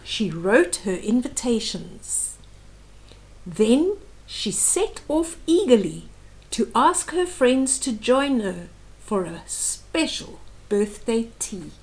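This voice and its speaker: A woman narrates a children's picture book in a high, very lively voice. She takes her time, adding dramatic emphasis and little asides for young listeners. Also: takes it slowly, rough recording. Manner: animated, exclaiming, dramatic emphasis. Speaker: children's storybook reader. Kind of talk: expressive read-aloud story narration with explanatory asides.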